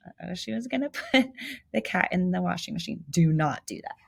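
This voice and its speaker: Very animated woman reading a storybook. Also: lowish voice, brisk, vocal fry. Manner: animated, theatrical emphasis with asides to young listeners. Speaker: volunteer reader of a children's picture book. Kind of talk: expressive read-aloud storytelling.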